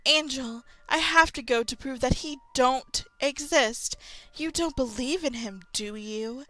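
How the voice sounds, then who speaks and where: Woman reading as a stubborn teen girl in a storybook reading, bright tone, sits a bit high, skeptical and insistent. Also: a little slow, no echo, rough recording. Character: skeptical teenage girl, stubborn best friend.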